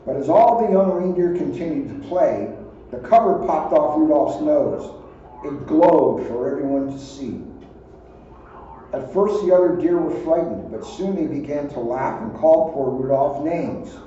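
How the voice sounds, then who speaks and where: Guy reading a storybook to kids, highish but very mellow voice, super echoey with asides. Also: animated, measured pace. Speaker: police officer reading aloud. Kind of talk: children's storybook read-aloud with ad-libbed asides. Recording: muffled, very echoey, distant, faint noise.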